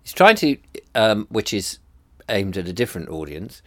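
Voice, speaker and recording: A middle-aged man with a stuffy, bunged-up nose talks in a deep, low voice. He muses aloud, pausing on ums and restarting his thoughts. The sound is dry and close, with no room around it. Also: very animated, dry recording. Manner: hesitant, many ums and restarts, musing. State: congested, bunged up. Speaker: vlogger. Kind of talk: rambling personal reflection to camera.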